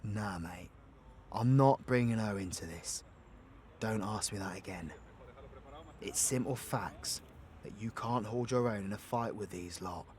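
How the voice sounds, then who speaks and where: A man's voice: fairly low pitch, unhurried, pauses often, darkish tone, slight background noise, natural voice. A British man playing a cocky, foul-mouthed but protective supervillain in an audio roleplay, with brash, teasing, slangy delivery. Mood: firm, protective. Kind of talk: audio roleplay acting addressed to the listener.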